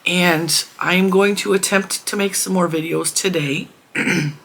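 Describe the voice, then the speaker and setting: Hoarse woman chatting casually to camera. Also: fairly low pitch, fairly even intonation, a little slow. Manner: chatty, rambling and warm toward viewers. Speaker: crochet channel host.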